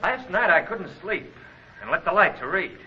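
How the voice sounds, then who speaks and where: A plainspoken townsman in vintage radio drama, husky and hushed, with careful phrasing and a varied pitch. The sound is muffled and old. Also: very animated, normal speed, heavily acted. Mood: subdued, thoughtful. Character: plainspoken male townsman giving careful testimony. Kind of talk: 1940s radio drama acting.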